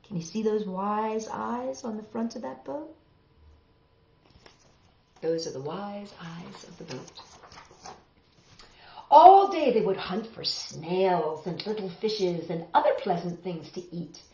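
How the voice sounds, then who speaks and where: A middle-aged woman hosts a children's storytime in a roomy, slightly muffled home recording. Her reading is expressive, with a lively pitch that rises and falls widely. She speaks directly to young listeners in a dramatic storytelling manner. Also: slow, gravelly, long pauses. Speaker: children's storytime host and reader. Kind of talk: expressive picture-book read-aloud for children. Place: small home room, indoors, seated on a sofa. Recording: roomy, slightly dull home video sound.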